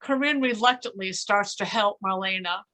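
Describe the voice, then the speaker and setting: A woman's voice: mid-range voice, moderate pace, sharp tone, a little hiss or hum. A woman reading stage directions as scripted narration for a readers theater play.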